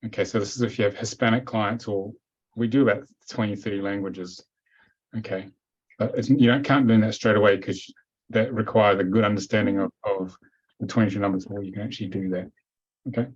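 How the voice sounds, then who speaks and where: Guy with a lowish voice explaining stuff on a webinar, dull lo-fi call sound, blunt and matter-of-fact. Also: steady tone, brisk, husky. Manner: direct, matter-of-fact, repeats points firmly. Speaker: webinar host and teacher. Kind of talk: live webinar Q&A. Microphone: video call.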